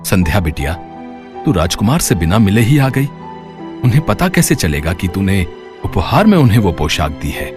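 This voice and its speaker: A man voicing a kind, humble old tailor and father in an animated story, gentle and fatherly in his manner. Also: low voice, moderate pace, music in the background.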